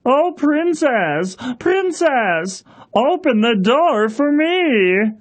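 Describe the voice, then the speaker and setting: A woman voicing an earnest, insistent male frog in a children's fairy tale, with exaggerated, deliberate delivery. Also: sits high, sing-song, full character voice. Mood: urgent pleading.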